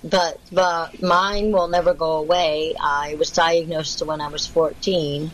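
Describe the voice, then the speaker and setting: A woman with a somewhat low voice speaks as a guest over a video call. She rambles, repeats words and leans on fillers as she explains. A faint hiss runs behind her. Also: flat delivery, measured pace, fairly bright tone, poor audio. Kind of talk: casual interview talk.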